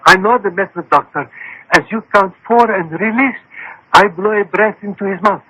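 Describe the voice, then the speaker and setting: Meek formal mystic man in a radio play, high husky voice, very polite and careful. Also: normal speed, pushed and bright, no room sound, exaggerated voice, poor audio. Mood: deferential. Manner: formal, deferential. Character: deferential, cautious male crystal gazer's assistant, Sikh name. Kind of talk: radio drama acting.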